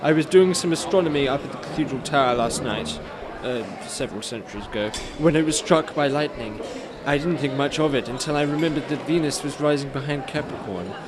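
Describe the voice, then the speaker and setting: An actor playing a haughty, bewildered Renaissance knight in a comic radio play, declaiming in archaic, self-important speech. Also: male voice, medium pitch, fairly quick, a crowd in the background, natural voice. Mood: haughty, curious. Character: pompous Renaissance knight, bewildered time traveller, haughty and literal-minded.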